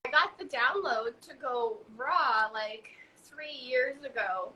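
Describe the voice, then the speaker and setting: A young woman from Hungary talks as a guest in a relaxed chat. Her voice sits a little high and sounds bright. She stops and restarts often and fills gaps with "um" and "like", and a faint hiss sits behind her. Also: rough recording. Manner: halting, many fillers and restarts. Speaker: guest, raw food author. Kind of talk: casual conversation.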